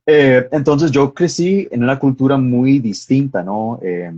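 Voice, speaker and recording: A man with a husky voice and an American accent from Texas tells personal stories in a casual interview. He searches for words and corrects himself as he goes. The sound is dull and lo-fi, very dry with no room echo. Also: mid-range voice, unhurried, warm tone. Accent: American-tinged Spanish, from Texas. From born in Costa Rica, grew up in Texas, USA. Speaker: guest filmmaker.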